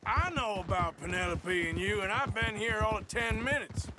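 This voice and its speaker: An actor playing a wry, rugged cowboy outlaw in a video game, speaking with a dry, laconic American Western drawl. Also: male voice, high voice, normal speed, lightly acted. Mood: dry, teasing. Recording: outdoor noise with horse clatter.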